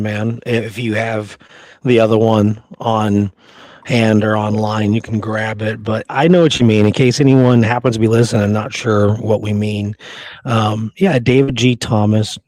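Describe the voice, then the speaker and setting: A man's voice: on the low side, fairly even intonation, unhurried, darkish tone, dry recording. A man co-hosting a casual history chat, speaking in a colloquial, opinionated way. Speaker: co-host of a history show. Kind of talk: casual chat about Old West history.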